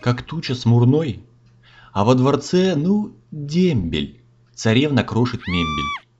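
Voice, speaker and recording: A man's voice: mid-range voice, wildly swooping pitch, takes it slowly. A man narrating a comic fairy tale in folksy, theatrical rhyme. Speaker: storyteller, narrator. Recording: slightly dull audio, music behind.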